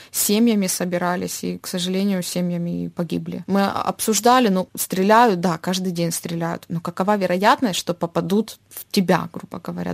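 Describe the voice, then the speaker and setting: A young woman from Dnipro, giving a personal account as an interviewee, haltingly searching for words. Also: lowish voice, vocal fry, a little mellow, no echo. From Dnipro, Ukraine.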